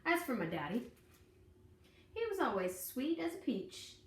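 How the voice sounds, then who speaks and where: A woman reading a children's picture book aloud in an expressive, folksy, dramatic storytelling manner. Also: high voice, unhurried. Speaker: storybook reader. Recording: echoey room, distant mic, faint noise.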